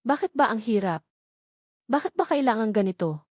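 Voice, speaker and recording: A woman's voice: a little high, expressive, normal speed, no echo, studio quality. A woman with a Filipino accent giving a scripted first-person narration of a romantic drama. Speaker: narrator of audio love stories.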